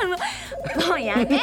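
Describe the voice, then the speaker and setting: A woman's voice: very high voice, heavily acted. An actress playing a playful, coquettish young woman in a radio drama, casual and teasing.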